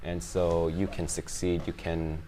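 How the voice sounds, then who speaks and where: A man, talking as an interview guest, halting with many restarts and fillers. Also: deep voice, little pitch movement, mellow. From Chicago, USA. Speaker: guest, finance executive. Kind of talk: casual interview conversation.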